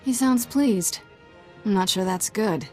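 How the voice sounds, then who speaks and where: A woman's voice: mid-range voice, slow, natural voice. A woman playing a wry, observant companion in a video game, with a dry delivery. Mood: uneasy. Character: wry, uneasy female companion. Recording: prominent music behind the voice.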